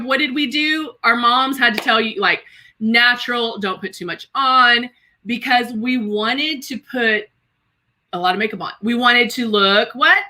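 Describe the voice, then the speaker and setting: Bright, higher-pitched woman around fifty, chatty. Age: about 50. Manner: chatty and exclamatory, addressing viewers as girl and guys. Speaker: host of a live makeup tutorial stream. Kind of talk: casual livestream chat while demonstrating makeup and reading viewer comments.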